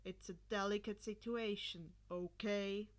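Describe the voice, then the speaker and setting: Woman putting on a low, slow, deadpan man. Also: natural voice. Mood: calm, guarded. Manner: nonchalant, deadpan, low-key. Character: weary, nonchalant, deadpan man, a reserved husband.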